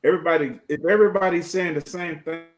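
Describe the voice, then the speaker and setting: Male talk show host, slightly high voice, casual and colloquial, earnest advice-giver. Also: fairly even intonation, fast, faint music behind. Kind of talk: casual chat.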